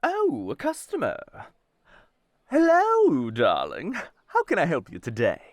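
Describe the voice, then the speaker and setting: A man's voice: on the high side, wildly swooping pitch, unhurried, no room sound, studio quality, pitched above the performer's own voice. A man voicing a chirpy, fawning merchant, a disguise in a scripted roleplay, with an ingratiating sing-song manner. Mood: cheery, fawning. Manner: bright, sing-song, ingratiating, calls listener darling. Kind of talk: ASMR roleplay, scripted character acting.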